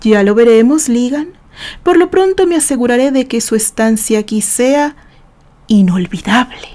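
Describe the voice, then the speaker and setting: A woman reading the part of a calm, self-assured male police inspector. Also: a little high, moderate pace, natural voice. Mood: smug.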